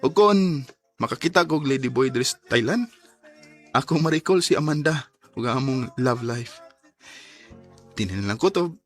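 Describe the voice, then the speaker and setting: A man's voice: mid-range voice, expressive, normal speed, long pauses, soft background music, lightly acted. A man acting a lovestruck young man, shocked and indignant, in a Filipino radio drama with a Cebuano accent and emotive delivery. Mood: wistful. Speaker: lead actor and narrator of letter drama.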